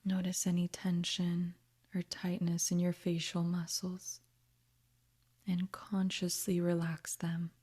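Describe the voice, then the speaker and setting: A woman guiding a meditation in a soft, breathy, half-whispered voice, with a gentle, soothing manner. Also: lowish voice, completely flat delivery. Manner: gentle, soothing, unhurried instruction. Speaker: meditation guide. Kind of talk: guided meditation narration.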